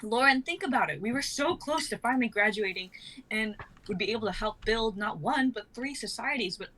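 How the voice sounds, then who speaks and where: A woman's voice: on the high side, lively intonation, brisk, a bit bright, rough recording. A woman voicing a level-headed, firm young crash survivor in an amateur dramatic table reading. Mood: serious.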